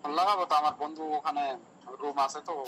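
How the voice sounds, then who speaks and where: Fast-talking man on a phone loudspeaker. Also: a little high, fairly even intonation. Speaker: friend receiving a prank call. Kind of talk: casual phone conversation. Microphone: heard through phone loudspeaker. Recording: phone on loudspeaker, faint noise.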